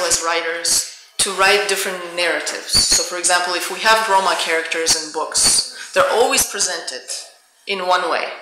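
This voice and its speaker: A middle-aged woman from the Soviet Union, answering audience questions as a guest author in a reflective, searching way. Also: fairly low pitch. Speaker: author and university teacher, guest speaker. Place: indoor public event room. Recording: echoey room, faint background noise, clicks.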